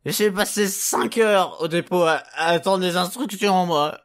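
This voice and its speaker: A man's voice: sits high, unhurried, background noise. A man playing a bitter, drunken minion clone in a comedy audio serial, slurring and full of self-pity. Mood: drunken grumbling.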